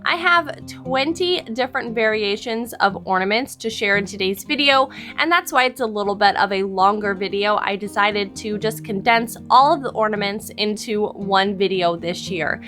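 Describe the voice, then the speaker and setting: A woman in her thirties, a little hoarse from losing her voice, explaining a craft project step by step in a friendly voice-over. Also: medium pitch, moderate pace, hardly any pauses, a bit bright. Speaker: crafting channel host. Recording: voice-over with background music.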